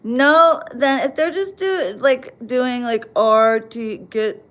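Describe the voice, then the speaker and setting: A woman's voice: on the high side, slow pace. An American woman chatting bluntly on a livestream, reading viewer comments and answering them with plenty of swearing. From United States. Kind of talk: casual livestream chat.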